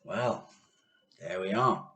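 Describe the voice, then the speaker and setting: A man reading a children's story aloud with expressive, theatrical narration and dramatic pauses. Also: fairly low pitch.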